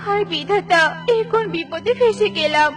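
A woman's voice: extremely high pitch, normal speed, over music. A woman voicing a small, earnest mother bird with a plaintive manner in a children's cartoon. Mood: distressed, weary.

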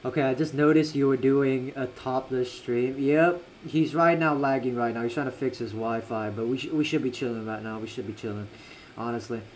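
A man's voice: medium pitch, steady tone, fairly quick, a little mellow, slight background noise, rough recording. A young man casually commentating a game on a livestream, slangy and reactive, repeating himself as he chats. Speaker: livestreaming gamer. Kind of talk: casual live game commentary, chatting with viewers.

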